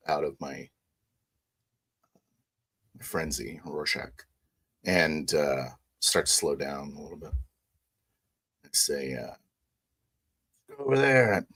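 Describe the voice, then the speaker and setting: A man improvising his character's actions and lines in a tabletop roleplay, piecing phrases together haltingly. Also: extremely low pitch, a bit bright. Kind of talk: improvised roleplay narration and dialogue.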